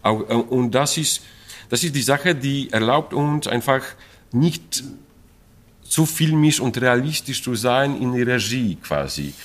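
A middle-aged man from Poland speaks German with a Polish accent, stopping to hunt for words and restarting his sentences. His pitch swings widely up and down as he talks, and he often breaks into laughter. He sounds animated rather than slow. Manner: halting, searching for German words, frequent fillers and restarts. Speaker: opera stage director. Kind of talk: interview about an opera production.

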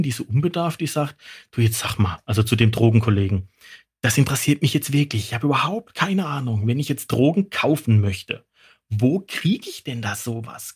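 A man speaks as a toxicologist guest on a show. He explains things casually and tells colloquial anecdotes, slipping into quotes. His mid-pitched voice is a little husky.

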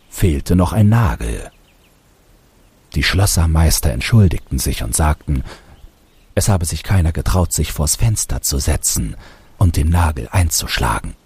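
Very deep, gravelly male storyteller. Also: a little mellow, a little hiss or hum. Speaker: author reading a fairy tale. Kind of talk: expressive storybook narration.

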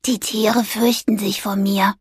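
A woman voicing a small, gentle ghost in a storybook, speaking softly, breathily and hesitantly. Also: mid-range voice, little pitch movement, unhurried, no room sound, clean studio sound. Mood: sad. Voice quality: breathy, whispery. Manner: soft, downcast and hesitant.

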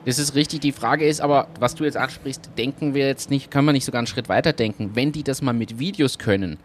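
A man's voice: mid-range voice, fast talker, a little hiss or hum. A man co-hosting a casual podcast chat, brainstorming ideas with enthusiasm. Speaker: podcast co-host.

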